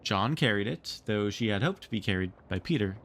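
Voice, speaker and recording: A man narrating a storybook read-along with expressive, theatrical storytelling. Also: on the low side, brisk. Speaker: streamer reading a book aloud.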